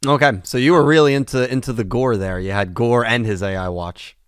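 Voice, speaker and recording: A man's voice: medium pitch, steady tone, brisk, clear, resonant tone, no echo, studio quality. A young man hosting a podcast, interviewing a guest in a casual, enthusiastic way and laughing often. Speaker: podcast host.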